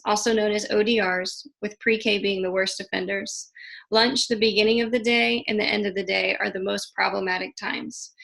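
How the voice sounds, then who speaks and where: Gravelly-voiced woman teacher. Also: medium pitch, a bit bright, rough recording. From Ohio. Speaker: special education teacher. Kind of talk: reading a prepared class presentation.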